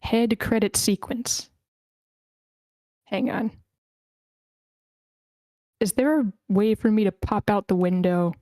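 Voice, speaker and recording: A woman's voice: mid-range voice, slow, pauses often, warm tone, no echo. Casual woman hosting, close dry sound. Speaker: table read host and narrator. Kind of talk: informal chat and amateur screenplay reading.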